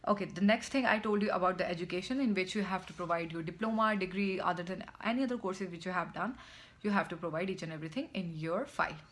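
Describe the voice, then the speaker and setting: A woman's voice: fairly low pitch, quick pace. A young woman with an Indian accent, explaining step by step to her viewers in a vlog, often repeating her words. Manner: instructive, repeats phrases, speaks in short stepwise chunks. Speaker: vlogger.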